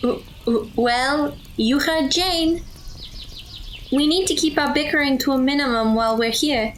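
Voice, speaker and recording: A woman's voice: high-pitched, a little slow, a little hiss or hum. A woman playing a level-headed, good-natured teenage girl with a sensible, teasing manner in an audio drama.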